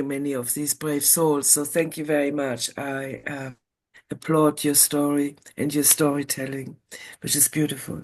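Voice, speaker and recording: A woman in an audience giving earnest feedback in a measured, emphatic way. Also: sits low. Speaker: audience member.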